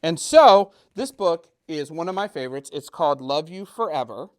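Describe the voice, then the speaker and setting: A man's voice: a little high, lively intonation, moderate pace, clear, resonant tone. A middle-aged man hosting a children's storytime in a casual, playful and self-deprecating way, chatting and joking straight to young listeners. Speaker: storytime host.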